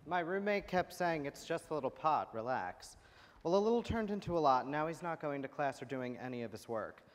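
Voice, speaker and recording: A man's voice: on the high side, expressive, a little fast, lightly acted. A young man playing a conflicted, uneasy college student in a staged monologue. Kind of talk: staged readers theater monologue. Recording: echoey hall.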